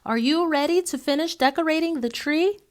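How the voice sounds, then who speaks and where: A woman's voice: high-pitched, a little fast, no room sound, pitched above the performer's own voice, lightly acted. A kindly elderly grandmother from Central America in a storybook, voiced by a woman narrator. She is affectionate and calls out in a fond sing-song way. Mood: calling out.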